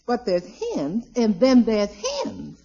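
A woman's voice: fairly high pitch, huge pitch swings, slow, background noise, exaggerated voice. An American woman playing a proud, feisty household cook, emphatic and repetitive, in a 1950s radio sitcom. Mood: defensive, proud. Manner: emphatic, boastful, repeats herself. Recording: muffled old radio recording.

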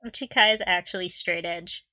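A woman co-hosting a podcast in casual chat, with a giggly, expressive way of reacting. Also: lowish voice, moderate pace, dry recording. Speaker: podcast co-host.

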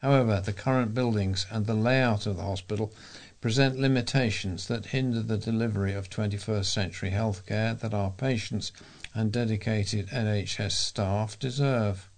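A man's voice: fairly low pitch, normal speed. A man reading local news articles aloud as a volunteer reader, in a formal delivery.

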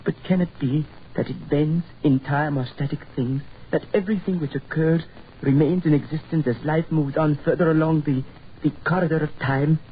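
An actor playing a grim, fatalistic injured man with solemn, deliberate, formal speech in a 1940s radio horror drama. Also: a little high, steady tone, lightly acted. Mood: grave, resigned. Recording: tinny, noisy 1940s radio recording.

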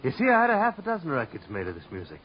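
A man's voice: on the high side, huge pitch swings, very fast. An actor in a 1950s radio drama playing an eccentric, self-absorbed male dancer with a theatrical, whimsical way of talking. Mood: dreamy, intense. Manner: theatrical, whimsical, grandiose phrasing. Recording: muffled, noisy vintage radio recording.

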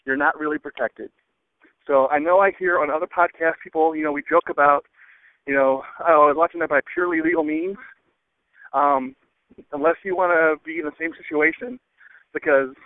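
Guy leaving a rambly voicemail on a thin phone line, lots of ums, voice a bit high. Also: dry recording. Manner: hesitant, with many ums and pauses. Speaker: listener calling in. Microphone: telephone. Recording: narrow, dry phone-line sound.

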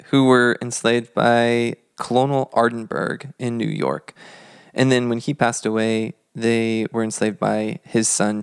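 30s guy podcast cohost telling history, reflective, gravelly edge, dry close sound. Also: mid-range voice, no room sound. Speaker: podcast co-host. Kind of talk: conversational historical storytelling.

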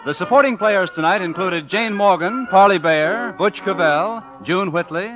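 A man's voice: sits high, normal speed, highs cut off. An American man reading scripted announcements as a 1950s network radio announcer. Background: music.

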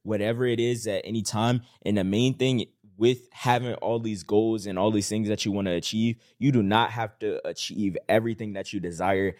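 Low-voiced man giving earnest advice. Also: fairly even intonation, moderate pace, hardly any pauses, a little mellow, dry recording, studio quality. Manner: earnest, encouraging, filler-heavy with "like". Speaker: podcast co-host. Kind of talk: casual podcast chat.

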